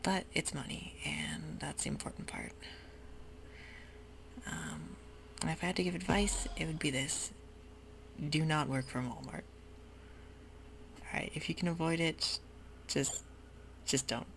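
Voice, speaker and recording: A young woman whispering casual ASMR commentary while she plays a video game, talking in a soft, rambling way. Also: sits low, expressive, a little slow, long pauses. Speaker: ASMR gaming channel host. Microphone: desk mic while gaming. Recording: rough home recording with audible hiss.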